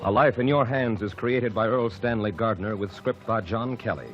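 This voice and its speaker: A man's voice: on the low side, moderate pace, music in the background. A man announcing a radio program in a formal 1940s style, reading credits and sponsor copy. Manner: smooth, formal announcer delivery. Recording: dull old radio broadcast.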